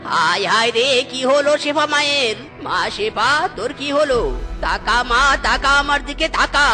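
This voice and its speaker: A man's voice: very high-pitched, expressive, moderate pace, sharp tone, heavily acted. A man playing a worried father fox in a comic cartoon, flustered and lamenting. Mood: alarmed. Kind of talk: exaggerated comic cartoon acting. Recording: faint music under the voice.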